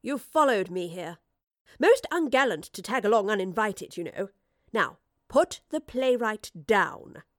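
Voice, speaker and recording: A woman's voice: medium pitch, expressive, unhurried, no echo, close to an everyday voice. Woman playing a haughty, witty lady professor, dry sound. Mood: wary, arch. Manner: arch, commanding, theatrically genteel. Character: bold, witty woman professor, haughty time-travelling adventurer.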